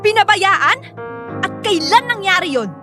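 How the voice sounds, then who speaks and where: A woman's voice: very high-pitched, lively intonation, measured pace, music in the background. A woman playing a hurt, reproachful betrayed wife and young mother in a Filipino radio drama, with a Filipino accent. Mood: hurt, accusing.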